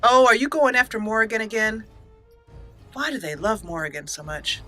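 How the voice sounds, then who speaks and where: Upbeat woman doing a game let's play, bright voice, reads the game text out, soft game music behind. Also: a little high, lively intonation, moderate pace. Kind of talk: casual gameplay commentary with roleplay narration. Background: faint game music.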